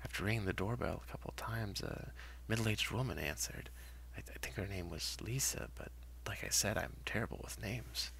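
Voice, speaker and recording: Low gravelly guy reading a scary story, dramatic and hushed, bit of hum behind him. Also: fairly quick. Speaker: narrator. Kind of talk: first-person horror story narration.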